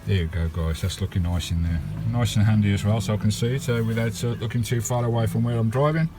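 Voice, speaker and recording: A man's voice: fairly low pitch, steady tone, fast, background noise. A man with an English accent talking casually and matily to viewers, with colloquial turns of phrase, as he shows hands-on work on his campervan. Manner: chatty, colloquial, matey address to viewers. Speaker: vlogger showing a campervan radio installation. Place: in and around a parked campervan. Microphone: handheld camera microphone.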